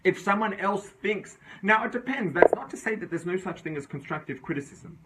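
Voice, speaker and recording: A man's voice: sits high, lively intonation, a little fast, heavy background noise. A man teaching an informal religious class, reasoning aloud in a conversational way and often checking in with his listeners. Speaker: Torah teacher.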